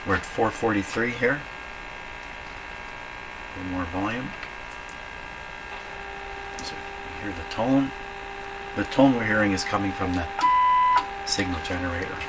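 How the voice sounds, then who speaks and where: A man giving an informal hands-on repair demonstration, thinking aloud in a halting way as he works. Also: on the low side. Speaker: radio repair hobbyist demonstrating. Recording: loud mains hum and workshop noise.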